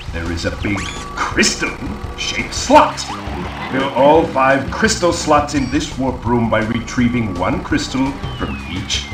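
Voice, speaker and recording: An actor playing a pompous, scheming mad scientist villain in a video game cutscene, grandiose and theatrical. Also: male voice, mid-range voice. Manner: theatrical, pompous, exasperated outbursts. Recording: roomy, distant, music underneath. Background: music.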